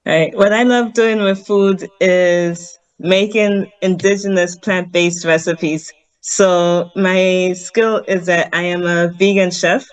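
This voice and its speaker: A woman's voice: on the low side, no room sound, low-quality recording. A woman from Zimbabwe, a vegan chef, answering interview questions earnestly over a video call, with frequent ums. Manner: earnest, explains step by step with many ums. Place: home in Harare.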